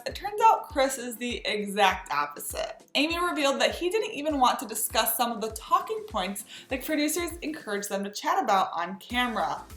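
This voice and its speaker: A woman's voice: high-pitched, a bit bright, reverberant. A woman presenting a scripted entertainment gossip recap as host, with an emphatic, chatty delivery.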